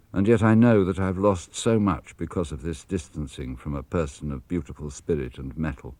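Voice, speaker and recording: Deep, sombre male diary reader. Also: darkish tone, dry recording. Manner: sombre and reflective. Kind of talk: reading diary entries aloud for radio. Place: radio studio.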